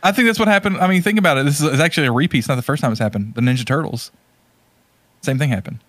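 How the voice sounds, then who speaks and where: A man's voice: fairly high pitch, very fast, fairly bright tone, no echo. Casual man in a dry recording. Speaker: podcast co-host. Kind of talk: casual podcast chat about movies.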